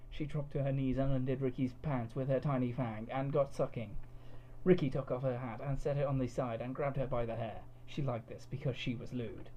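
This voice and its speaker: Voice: medium pitch, warm tone, lo-fi sound, a little hiss or hum. A narrator giving a mock-serious dramatic reading of fan fiction.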